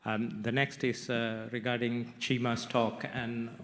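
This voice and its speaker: Monotone moderator in an echoey hall. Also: male voice, medium pitch. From Sri Lanka. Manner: measured, with frequent "you know" fillers. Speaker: panel moderator. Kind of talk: moderating a panel discussion. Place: conference hall.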